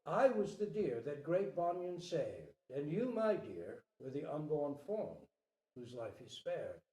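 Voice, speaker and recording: A man voicing a gentle mother doe in a storybook reading, tender, soft and reassuring. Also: fairly high pitch, very animated, takes it slowly. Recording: roomy echo, distant microphone.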